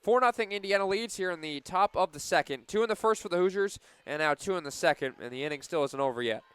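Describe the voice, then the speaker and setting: A man with a fairly high, very clean voice calls softball on the radio. He keeps a measured play-by-play flow and lifts it for big plays. The sound is close and dry. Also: smooth, dry recording. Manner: excited on big plays, measured between them. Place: live at a softball game.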